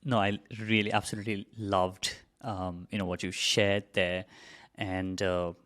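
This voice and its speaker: A man hosting a podcast, responding to his guest in casual interview chat, relaxed and leaning on "you know" fillers. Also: low-pitched, a little slow. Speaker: podcast host.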